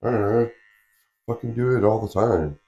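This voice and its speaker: A man with a fairly low voice in a loose podcast conversation. He speaks bluntly in short bursts and chimes in with quick agreement. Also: mellow, highs cut off. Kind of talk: casual chat.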